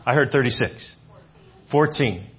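A man's voice: mid-range voice, lively intonation, measured pace, slight background noise. A man in his fifties preaching to a church audience, talking conversationally and asking the room questions. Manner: conversational, repeats phrases, asks the audience questions. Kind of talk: sermon. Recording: dull lo-fi sermon audio.

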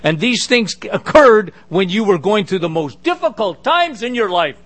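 A middle-aged man with a big booming voice preaching a sermon, emphatic with conversational asides. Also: big pitch swings, moderate pace, a bit bright.

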